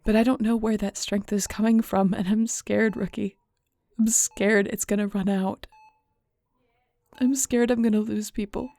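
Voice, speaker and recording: A hurt, stubborn female werewolf alpha played by a woman in an audio drama, her voice full of creaky fry. She confesses haltingly and emotionally, doubting herself, recorded close and very dry. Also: mid-range voice, steady tone, dark tone, no echo, lightly acted. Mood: scared, vulnerable. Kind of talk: audio roleplay drama acting.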